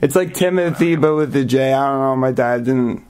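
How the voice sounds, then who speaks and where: A man's voice: mid-range voice, normal speed, a little mellow, clean tone. A young man making a prank phone call in character, stammering and hesitant, sounding naive and distressed. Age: young adult. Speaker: prank caller. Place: small bedroom, indoors.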